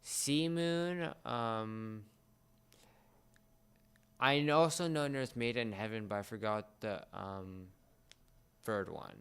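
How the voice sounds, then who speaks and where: A man chatting casually as a fan, often halting and searching for his words. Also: fairly low pitch, clean tone.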